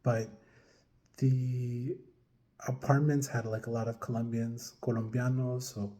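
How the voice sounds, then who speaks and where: A man in his forties from upstate New York, telling his own story to camera in a hesitant, casual way with many pauses and fillers. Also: mid-range voice, little pitch movement, dark tone. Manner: hesitant, many ums and restarts, chuckles now and then. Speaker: vlogger.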